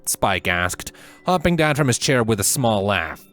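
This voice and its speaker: A man's voice: lowish voice, big pitch swings, a little slow, fairly bright tone, faint music behind. A man reading a scripted story aloud as the narrator. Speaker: fan fiction narrator.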